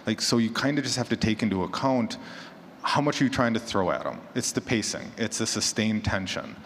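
A man's voice: fairly low pitch, animated, brisk, a bit bright. A man speaking informally on a conference panel about his craft, conversational, posing rhetorical questions and voicing imagined quotes. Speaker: panelist, fiction podcaster. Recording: reverberant hall, clear background noise.

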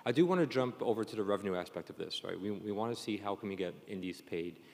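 A man's voice: on the low side, fast talker, gravelly. A man moderating a panel discussion in a chatty, conversational way. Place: conference session room. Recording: echoey hall, long reverb.

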